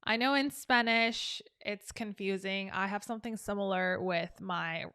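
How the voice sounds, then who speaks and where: A woman's voice: mid-range voice, a little slow, pushed and bright, clean tone, no echo, clean studio sound. A young woman from South Korea, teaching an English lesson in a chatty, upbeat way. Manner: chatty and upbeat, explaining with examples. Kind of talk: casual online English lesson.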